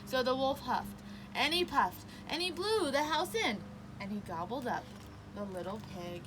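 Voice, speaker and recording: A woman reading a children's picture book aloud as a storyteller, with expressive, emphatic narration. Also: sits high, unhurried, background noise.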